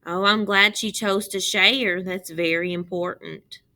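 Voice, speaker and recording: A woman reading a picture book aloud as a teacher, pausing to explain and ask young children questions. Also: medium pitch, fairly even intonation, sharp tone.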